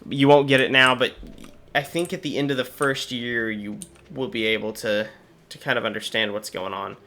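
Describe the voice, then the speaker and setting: Youngish guy, voice a bit worn, chatty wry gameplay commentary, mid pitch. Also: fairly even intonation, normal speed, sharp tone, a little hiss or hum. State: slightly sore, tired voice. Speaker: gaming channel host. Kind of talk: casual game commentary.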